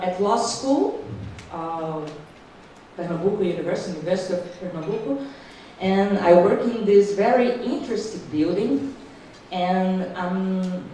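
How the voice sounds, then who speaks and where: Woman, Brazilian Portuguese accent, slow and halting, far from the mic. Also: mid-range voice, warm tone, slight background noise. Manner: careful, halting, many restarts. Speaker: panel speaker, law professor. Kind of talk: academic panel talk. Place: conference hall. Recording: distant mic in echoey hall.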